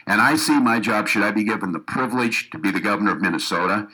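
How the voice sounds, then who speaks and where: A man's voice: on the low side, quick pace, pushed and bright, breathy edge, rough recording. A man giving an earnest campaign speech to a room of educators, often restarting his sentences. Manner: earnest, repeats himself with restarts. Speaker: political candidate. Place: meeting room with audience.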